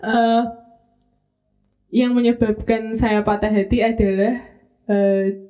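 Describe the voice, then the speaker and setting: A woman's voice: fairly high pitch, level tone, slow pace, dark tone. A woman, a podcast guest chatting playfully and laughing easily. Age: 20s. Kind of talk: casual chat. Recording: muffled lo-fi mic.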